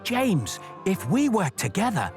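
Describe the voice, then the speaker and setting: A man puts on a friendly young boy-like steam engine for a storybook. The voice is high, eager and enthusiastic, swinging widely in pitch over music. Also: huge pitch swings, a little slow, a little mellow, heavily acted. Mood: determined.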